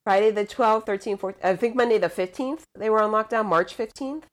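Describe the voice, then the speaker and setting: A woman's voice: medium pitch, fairly quick. A young woman from Puerto Rico, a podcast guest, chatting casually and hesitantly with many fillers. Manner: rambling, hesitant, full of "like" and "um". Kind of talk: casual chat over a call.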